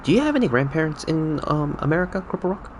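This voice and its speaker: A man's voice: on the high side, very animated, fast talker, a little hiss or hum. A man whose first language is Cantonese, chatting casually with viewers on a game stream, rambling and correcting himself. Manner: rambling, self-correcting, chuckling. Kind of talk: casual live-stream chat.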